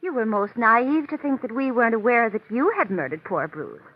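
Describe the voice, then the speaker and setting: An actress playing an affected, gushing young society coquette in an old-time radio drama. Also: female voice, a little high, sing-song, brisk. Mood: coolly chiding. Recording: muffled, noisy vintage radio recording.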